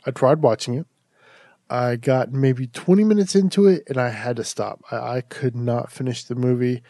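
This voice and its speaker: A man hosts a casual horror review podcast, his voice mellow and a little nasal, like he is stuffed up from allergies. He talks in a chatty, rambling way, restarting his sentences as he goes. The sound is close and dry, with no room around it. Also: mid-range voice, normal speed, no room sound. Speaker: podcast host.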